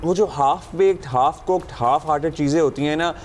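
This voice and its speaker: A man's voice: on the high side, fairly quick, a little mellow, slight background noise. A man co-hosting a morning talk show, chatting earnestly and shifting into formal Urdu for news items. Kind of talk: casual morning show chat.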